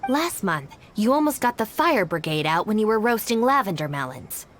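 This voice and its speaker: An actress playing a poised, responsible young gang deputy in a video game, speaking politely, formally and persuasively. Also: female voice, mid-range voice, sing-song, moderate pace, a little hiss or hum, close to an everyday voice. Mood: stern, scolding.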